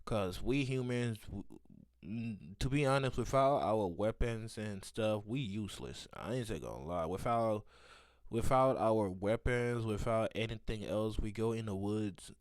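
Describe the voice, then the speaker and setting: A man's voice: on the low side, lively intonation, a little slow, dry recording, studio quality. A man giving casual, slangy live reactions as a video host, with short interjections and asides.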